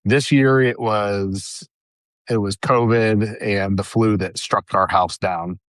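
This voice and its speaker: A man's voice: on the low side, steady tone, slow, no room sound, clean studio sound. A man co-hosting a podcast in casual chat, easygoing and chatty. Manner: easygoing, chatty, many fillers like "like" and "dude". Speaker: podcast co-host.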